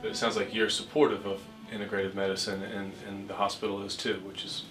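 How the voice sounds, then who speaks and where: A man playing a subdued, polite cancer patient in a role-played dialogue, speaking a little haltingly. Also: on the low side, flat delivery, distant mic, natural voice. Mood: polite, curious. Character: subdued, polite male cancer patient seeking treatment options. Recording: echoey, off-mic, music underneath.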